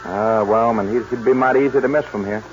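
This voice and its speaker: An actor playing a cautious but game American cowboy sidekick, folksy and exclaiming, in a 1940s radio western. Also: male voice, lowish voice, quick pace, natural voice. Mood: nervous, game. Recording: noisy, muffled 1940s radio recording.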